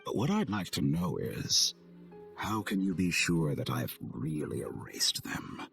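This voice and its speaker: An actor playing an arrogant villain scientist in a game, with a measured, condescending, theatrical delivery. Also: male voice, low-pitched, huge pitch swings, gravelly, full character voice. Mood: smug, composed. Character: suave, arrogant male villain scientist, coldly amused schemer. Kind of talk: video game cutscene acting. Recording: studio voice over game music.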